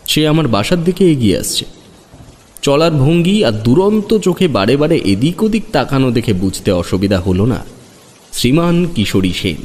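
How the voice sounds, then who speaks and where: A man's voice: medium pitch, darkish tone. A man narrating an audio story dramatically in the first person. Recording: long reverb on the voice.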